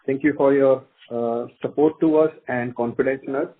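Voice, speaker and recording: A man's voice: medium pitch, steady tone, moderate pace. A male executive giving formal closing remarks on a company conference call. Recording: noisy narrow phone line, slight hiss.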